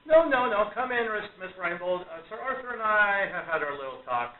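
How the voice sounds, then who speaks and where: A man's voice: sits high, big pitch swings, fairly quick. A man playing a proud, enthusiastic stage magician in a radio play, speaking in an earnest, emphatic way. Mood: welcoming. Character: proud, boyishly enthusiastic male stage magician, warm to friends. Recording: tinny phone-like, roomy recording.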